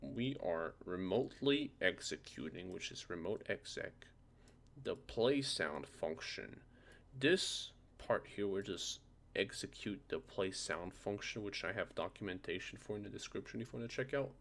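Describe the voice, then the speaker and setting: A man presenting a casual software tutorial, thinking aloud in an informal way with a gravelly voice. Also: low voice, expressive, normal speed.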